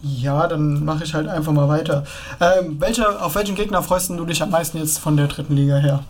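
A man's voice: on the high side, fairly quick. A man as co-interviewer, asking direct questions in a casual interview.

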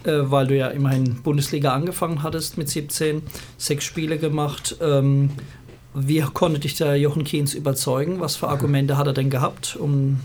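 A man's voice: on the high side, flat delivery, moderate pace, darkish tone, slight background noise. A man hosting an interview, reading out listener questions and adding side facts. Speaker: interview host. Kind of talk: casual interview talk.

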